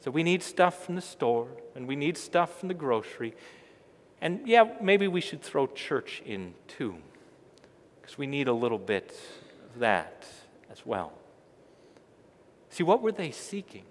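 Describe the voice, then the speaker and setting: Middle aged guy pastor preaching, really slow, big swings in pitch, echoing church. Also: very animated. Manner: emphatic, repetitive phrasing, swelling loud then dropping soft. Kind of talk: sermon. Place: large church sanctuary, indoors. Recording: long church reverb.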